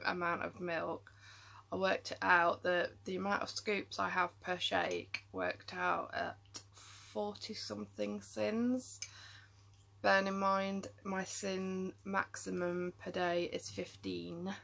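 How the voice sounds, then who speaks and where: A woman talking casually to camera, tired and rambling, with many ums and half-finished thoughts. Her voice is bright and forward but catches into a gravelly fry. She sounds worn out yet keeps chatting. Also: on the low side. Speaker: vlogger.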